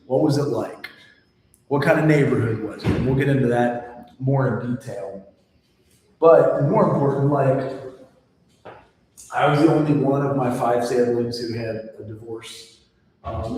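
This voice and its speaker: Man in his forties, casual instructor with personal asides, booming echo, mic far away. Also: darkish tone, a little hiss or hum. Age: 40s. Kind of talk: casual classroom lecture. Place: classroom. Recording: echoey hall recorded from far off.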